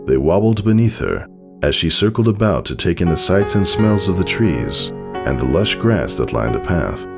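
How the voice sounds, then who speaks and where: Male story narrator, low and gravelly, dramatic scripted read, faint music underneath. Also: big pitch swings, normal speed. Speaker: fan fiction narrator.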